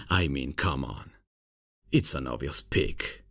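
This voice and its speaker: A man playing a laid-back, bumbling substitute professor in a comedic ASMR roleplay, casual and hesitant, with a deep, gravelly, husky voice. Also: sing-song, drawn-out words, fairly bright tone, exaggerated voice. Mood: sheepish, amused. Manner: casual, hesitant, chuckling, unprofessional. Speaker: roleplay audio performer.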